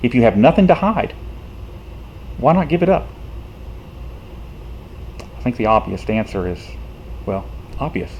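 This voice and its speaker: A man, a citizen activist, talking casually to the camera in a frustrated, rhetorical way, halting often with fillers. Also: medium pitch, very animated, darkish tone, dull audio, a little hiss or hum.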